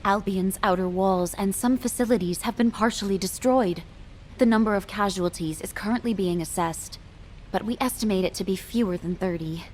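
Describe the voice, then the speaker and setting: An actress playing a composed military officer who speaks formally and with measure, as in a video game briefing. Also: female voice, medium pitch, moderate pace, slight background noise, close to an everyday voice, rough recording.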